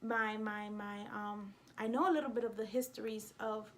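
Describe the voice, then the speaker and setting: A woman with a New York accent talking casually to camera as a vlogger, in an emphatic, indignant and expressive way. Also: mid-range voice, normal speed, slight background noise. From United States.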